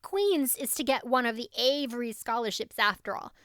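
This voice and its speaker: A woman's voice: a little high, lively intonation, moderate pace, a bit bright, no echo, clean studio sound. A woman voicing a spiteful, boastful teenage schoolgirl who gushes in slang and offers false pity, in an audiobook story. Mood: gossipy, smug. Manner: gushing, slangy, falsely pitying.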